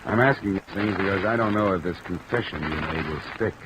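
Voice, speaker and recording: An actor playing a cool, probing insurance investigator in a 1950s radio drama, measured, dry and matter-of-fact. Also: male voice, sits low, close to an everyday voice. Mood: probing, calm. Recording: old radio recording under loud rain noise. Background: steady rain sounds.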